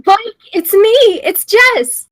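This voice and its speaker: A woman's voice: very high voice, expressive, slow, a bit bright, full character voice. An actress at a screenplay table read playing Jess, an anxious, devoted woman who speaks in an earnest, pleading, placating way. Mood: desperate pleading.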